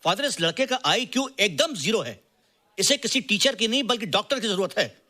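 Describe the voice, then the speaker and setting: A man's voice: high voice, expressive, a little fast, bright, forceful tone. An actor playing a harsh, contemptuous male computer teacher in Hindi film dialogue.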